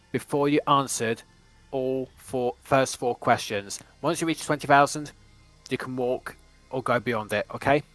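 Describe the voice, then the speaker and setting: A man hosting a quiz show in a measured, formal manner, sometimes restarting his phrases. His voice sits at a middle pitch and sounds dry and close to the mic. Faint music plays behind him. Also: a bit bright, no echo.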